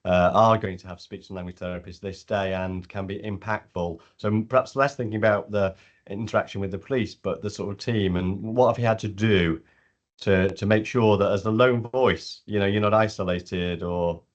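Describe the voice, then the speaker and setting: A man's voice: lowish voice, steady tone, normal speed, lo-fi sound. A man with a British accent, a board member asking a question in a friendly, conversational way. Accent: British English.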